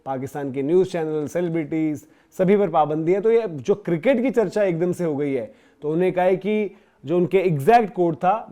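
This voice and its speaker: A man delivers opinionated sports news straight to his audience. His voice sits a little high for a man, yet its tone is mellow and rounded. He is emphatic but conversational, often driving his argument with rhetorical questions. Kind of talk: opinionated news commentary to viewers.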